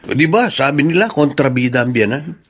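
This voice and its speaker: A man's voice: medium pitch, animated, moderate pace, fairly bright tone, breathy edge. An actor playing a nosy, teasing male friend in a radio drama, with a Filipino accent.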